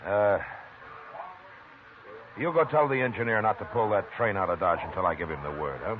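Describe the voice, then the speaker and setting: Steady western lawman, voiced by an actor, very low voice, old hissing radio sound. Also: lightly acted. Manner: calm, firm, unhurried authority. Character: steady, commanding frontier marshal. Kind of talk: 1950s radio drama acting. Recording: tinny hissy old radio broadcast.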